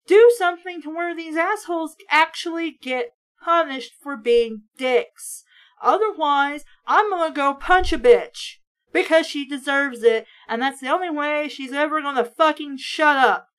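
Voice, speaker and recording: A woman's voice: high voice, lively intonation, unhurried, a bit bright. A woman reading aloud casually with blunt, sweary, sarcastic running commentary in a ranting manner.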